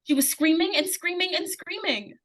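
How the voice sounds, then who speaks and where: An earnest young girl, acted by a woman in an old-time radio thriller. Her voice is high, and she speaks with pleading, insistent urgency, as a child who needs to be believed. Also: normal speed, heavy background noise. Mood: urgent pleading. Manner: urgent, breathless repetition and pleading. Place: live stage radio-play performance.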